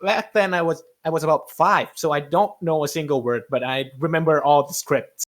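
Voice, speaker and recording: A man's voice: fairly high pitch, normal speed. A young man with a Thai accent, a guest voice actor chatting casually and thoughtfully on a podcast. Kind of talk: casual chat.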